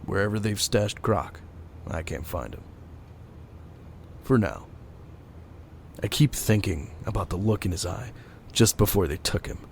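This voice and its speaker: Man acting stern detective hero, commanding, deep, creaky gravel, grim audio drama delivery, noticeable noise. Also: expressive, a little slow, long pauses. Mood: brooding. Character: brooding, stern masked vigilante detective hero. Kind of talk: audio drama narration and dialogue.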